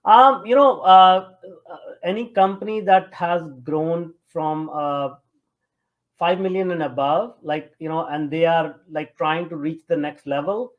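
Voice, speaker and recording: A man from Punjab with a North Indian accent, answering as an interview guest in a halting, conversational way with many fillers. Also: on the high side, fairly even intonation, dull audio. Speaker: interview guest, tech entrepreneur.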